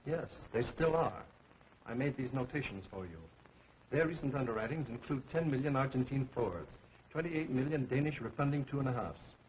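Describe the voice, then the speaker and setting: An actor playing a businesslike financial informant in a 1930s film, speaking briskly and formally. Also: male voice, medium pitch, animated, darkish tone, lightly acted. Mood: brisk, matter-of-fact. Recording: dull, noisy old film soundtrack.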